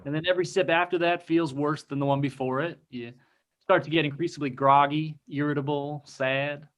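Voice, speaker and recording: A man's voice: fairly high pitch, fairly even intonation, brisk, lightly acted. A man playing a goofy, self-deprecating admirer with corny delivery in a comedy table read over a video call. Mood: glum. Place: room at home, video call. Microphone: video call computer microphone.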